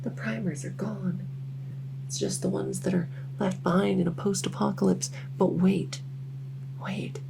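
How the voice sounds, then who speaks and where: A woman's voice: lowish voice, gravelly, rough recording. A woman voicing a lonely, socially awkward vampire who rambles enthusiastically in an ASMR audio roleplay. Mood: hushed excitement. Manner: rambling, enthusiastic info-dumping, many fillers. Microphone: close ASMR microphone.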